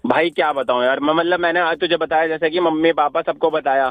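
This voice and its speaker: A young man with a high-pitched voice vents on a phone call. He sounds fed up and complains in a casual, exasperated way. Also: fairly even intonation, a little fast, fairly bright tone, no echo. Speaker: call recipient.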